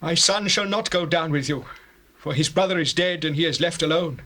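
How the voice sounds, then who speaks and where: A grieving old patriarch in an audio scripture drama. He declaims solemnly and anxiously, in a fairly high, sharp and forceful voice. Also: moderate pace. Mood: grieving, bitter. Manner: solemn, mournful, archaic declaiming. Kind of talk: dramatized scripture, stage-like acting.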